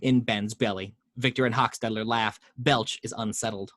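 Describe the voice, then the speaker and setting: A man at home reading screenplay action lines over a video call, steady with small slips. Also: lowish voice, normal speed, no room sound, clean studio sound. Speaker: table read host reading action lines. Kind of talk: script narration.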